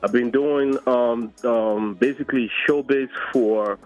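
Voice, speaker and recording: A middle-aged man answering in a conversational interview, often pausing hesitantly between phrases. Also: mid-range voice, steady tone, dull audio, faint music behind. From Democratic Republic of Congo. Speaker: guest, entertainment producer.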